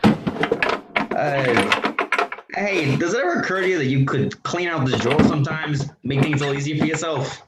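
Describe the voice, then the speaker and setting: A man's voice: on the high side, expressive, normal speed, heavy background noise, natural voice. A man playing a reluctant, jittery sidekick in an old-time radio drama, hesitant and wryly complaining. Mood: grumbling.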